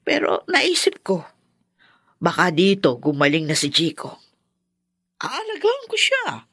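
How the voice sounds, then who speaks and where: A woman's voice: fairly low pitch, wildly swooping pitch, takes it slowly, a bit bright, husky, no room sound, clean studio sound, heavily acted. A possessive older mother, swooping voice. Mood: insistent, scheming. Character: possessive, reproachful older mother-in-law and grandmother. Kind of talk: Filipino radio drama acting.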